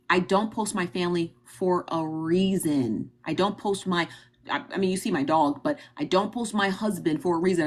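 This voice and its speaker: A woman with a Midwestern accent hosting a live stream, talking emphatically and directly to her viewers and repeating her points. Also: fairly low pitch. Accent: Midwestern American. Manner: emphatic, repetitive, talks straight to her viewers. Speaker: livestream host. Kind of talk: casual livestream commentary.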